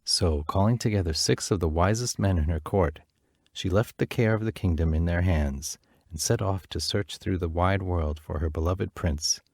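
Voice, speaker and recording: A man narrating a fairy tale in a measured, clear reading voice. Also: low-pitched, a little mellow, no room sound, clean studio sound. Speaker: storyteller.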